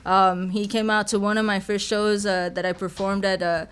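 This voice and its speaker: A woman's voice: mid-range voice, little pitch movement, normal speed, clear, resonant tone. A young woman from Mexico, a rapper, talking earnestly and humbly as a podcast guest, often repeating her words. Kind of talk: casual podcast conversation. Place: podcast studio.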